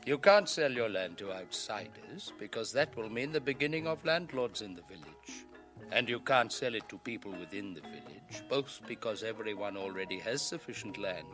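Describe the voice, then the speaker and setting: An actor in a 1980 radio drama playing an unruffled, wry village leader with an emphatic manner. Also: male voice, mid-range voice, very animated, moderate pace, over music, natural voice. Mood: wry, firm.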